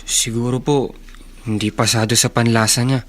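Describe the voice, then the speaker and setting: A meek young Filipino man played by an actor as a humble gardener in a radio drama. His mid-pitched voice is deferential and hesitant, eager to please. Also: fairly even intonation, normal speed, a bit bright, slight background noise, natural voice. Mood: meek, downcast.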